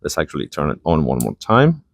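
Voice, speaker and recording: Low-voiced man casually reviewing a product. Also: huge pitch swings, brisk, gravelly, dry recording. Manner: enthusiastic, informal demonstrator.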